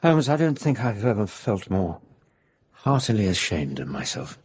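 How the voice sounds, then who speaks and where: A man with an English accent playing a decent, anxious companion to a detective in a radio drama, with a raspy voice. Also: fairly low pitch, big pitch swings, moderate pace, darkish tone. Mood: ashamed, indignant.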